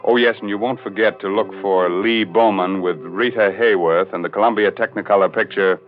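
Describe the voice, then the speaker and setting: A man's voice: lowish voice, steady tone, fairly quick. An American man hosting a 1940s radio horror show in a macabre, mock-sinister, punning manner. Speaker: host of a radio mystery show. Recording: tinny 1940s radio recording, faint music.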